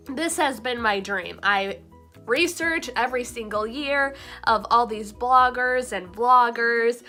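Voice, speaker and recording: A woman's voice: a little high, sharp tone. A young adult woman chatting casually to her vlog audience, bubbly and talkative, a little nasal from a recent cold. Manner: bubbly, chatty, frequent fillers and laughs. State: slightly nasal from recovering cold. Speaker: vlogger.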